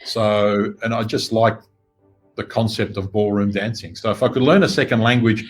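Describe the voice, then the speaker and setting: Middle-aged man, lowish voice, earnest business coach, faint music underneath. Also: normal speed. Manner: steady, earnest, reflective. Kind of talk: interview answers.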